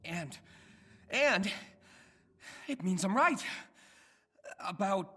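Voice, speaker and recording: An earnest young American superhero in a video game. His pitch swings freely as he chatters in a boyish, nervy, self-deprecating way, always ready with a wisecrack. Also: expressive, very slow, sharp tone, full character voice. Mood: nervy, excited. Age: young adult.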